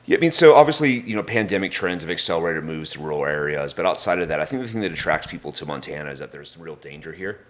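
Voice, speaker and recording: Brief: very low-voiced male guest, grew up in England, easy candid talker. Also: lively intonation, quick pace, few pauses. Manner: thoughtful, candid, with frequent fillers. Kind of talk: casual interview conversation. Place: home in Bozeman.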